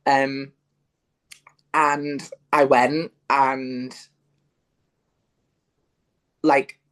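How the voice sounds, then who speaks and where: A young man from Yorkshire with a Northern English accent, chatting as an interview guest, full of fillers and restarts. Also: medium pitch. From Yorkshire, England. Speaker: guest, musical theatre performer. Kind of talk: casual interview chat.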